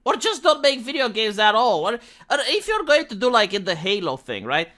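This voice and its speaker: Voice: mid-range voice, big pitch swings, normal speed, pushed and bright. A commentator giving opinionated, casual commentary in an emphatic, exasperated, argumentative way. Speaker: commentary host.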